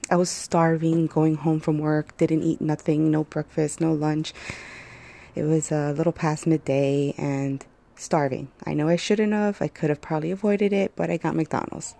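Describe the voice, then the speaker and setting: Hoarse, gravelly woman on a phone mic. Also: low-pitched, steady tone, moderate pace, warm tone. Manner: rambling, hesitant, many fillers. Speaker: podcast host. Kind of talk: casual solo podcast chat.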